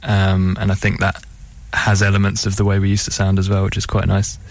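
Low, gravelly-voiced man chatting casually. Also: completely flat delivery, brisk. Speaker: band musician, interview guest. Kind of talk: casual interview chat. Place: radio studio.

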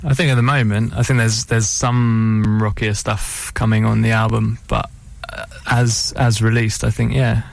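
A man's voice: lowish voice, little pitch movement, normal speed, creaky, hardly any pauses, no room sound. A man speaking as a band musician in a casual interview, hesitant and often rephrasing himself. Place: radio studio.

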